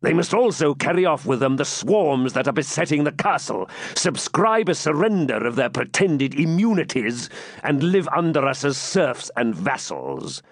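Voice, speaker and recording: A savage medieval baron bellows his demands, drawn out and forceful, as a male audiobook narrator puts him on. The voice climbs and plunges with fury. It sits close and dry, with no room around it. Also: fairly high pitch, sing-song, no echo, pitched above the performer's own voice. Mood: enraged. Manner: bellowing, threatening, slow and heavy. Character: furious cruel Norman baron, man, middle-aged. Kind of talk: audiobook character reading.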